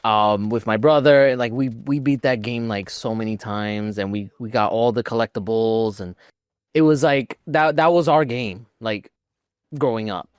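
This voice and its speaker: A man with a clear, resonant voice at a middle pitch talks casually as a podcast co-host. He rambles with enthusiasm, and the sound is close and dry. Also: normal speed, dry recording. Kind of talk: casual panel chat.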